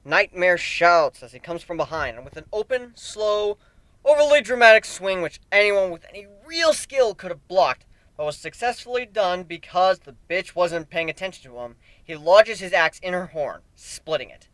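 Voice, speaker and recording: Guy doing a dramatic story reading, amateur narrator, bright punchy voice, very animated, close dry sound. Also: high-pitched, moderate pace, no room sound. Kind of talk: dramatic reading of fan fiction, expressive narration.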